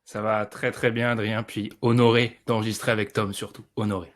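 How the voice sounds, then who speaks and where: A man's voice: fairly low pitch, level tone, fairly quick. Podcast guy laying out his take, sure of himself, bit wry. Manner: explanatory, confident, wry. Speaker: podcast co-host. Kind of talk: casual podcast discussion.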